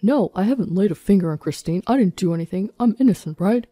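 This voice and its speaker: A woman's voice: lowish voice, moderate pace, dark tone, husky, soft background music. Woman voicing a desperate, agitated cheating husband. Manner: pleading, agitated. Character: desperate, ranting, cheating husband, pleading and indignant.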